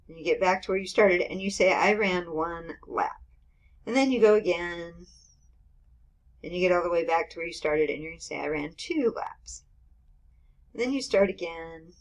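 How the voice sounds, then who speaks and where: A woman's voice: fairly low pitch, rough recording. Chatty woman in her fifties teaching math. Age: 50s. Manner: chatty, explains with everyday examples, frequent "okay" and "right". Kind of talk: informal screen-recorded math lecture.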